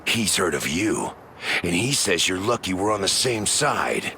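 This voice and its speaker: A man playing a gruff, menacing mercenary warrior in a video game, gravelly, blunt and terse. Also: low voice, big pitch swings, unhurried, sharp tone, slight background noise, exaggerated voice.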